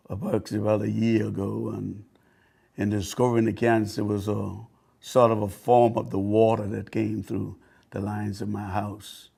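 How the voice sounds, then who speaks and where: Older man from the Bahamas, low gravelly voice, flat and earnest, halting testimonial read. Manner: earnest, halting, with repeated words and restarts. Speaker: customer giving a testimonial. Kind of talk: unscripted testimonial.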